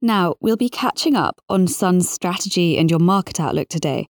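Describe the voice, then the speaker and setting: A woman's voice: on the low side, lively intonation, normal speed, dry recording, clean studio sound. A woman hosting an interview podcast, speaking in a polite, formal and articulate way as a reporter. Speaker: podcast host, financial reporter. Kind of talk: scripted podcast introduction and interview questions.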